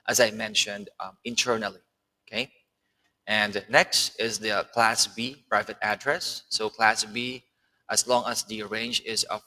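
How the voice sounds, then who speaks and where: A man giving an informal step-by-step tutorial as an online instructor, phrasing things haltingly and often checking in with short tags and questions. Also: fairly low pitch, pushed and bright, poor audio. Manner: hesitant phrasing with frequent "okay" check-ins and rhetorical questions. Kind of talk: informal screen-share tutorial, explaining step by step.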